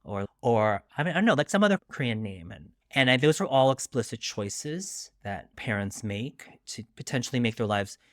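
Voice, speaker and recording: A man's voice: medium pitch, animated, normal speed, hardly any pauses, no echo, studio quality. A middle-aged man from Long Island, New York, speaking candidly and reflectively as a guest in an interview, often adding asides. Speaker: guest author.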